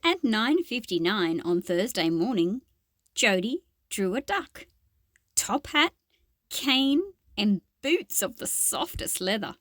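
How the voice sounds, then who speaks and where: A woman's voice: mid-range voice, very animated, slow, dry recording. A woman reading a children's picture book aloud expressively, with explanatory asides to young listeners.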